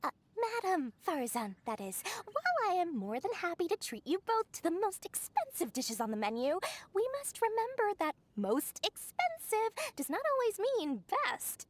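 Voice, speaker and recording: Little female merchant, high voice, swooping pitch, slick, flattering, money-minded, video game dialogue. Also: moderate pace, few pauses, full character voice. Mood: wheedling, flattering. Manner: smooth-talking, wheedling, businesslike. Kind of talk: video game character acting.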